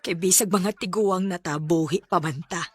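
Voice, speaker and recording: A woman acting in a Bisaya radio drama as a frank, wryly affectionate elderly widow, with a Cebuano accent and plain-spoken, gently teasing delivery. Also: lowish voice, normal speed, slightly rough.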